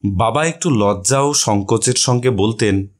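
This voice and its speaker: A man's voice: lowish voice, measured pace. A man narrating a short story as a scripted audio reading.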